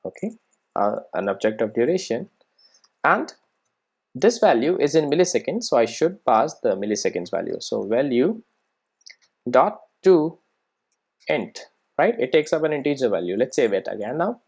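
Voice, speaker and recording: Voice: mid-range voice, sing-song, moderate pace, pauses often, a little mellow, breathy edge. A person giving an informal live coding tutorial, thinking aloud and often checking in with "right" and "okay". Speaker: programming tutorial presenter.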